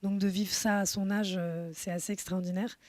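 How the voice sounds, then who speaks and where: A woman's voice: medium pitch, level tone, normal speed, a little mellow, dry recording. A woman in her thirties, a film director from France, speaking thoughtfully at a festival panel with frequent hesitations. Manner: thoughtful, hesitant with many fillers. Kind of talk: panel discussion.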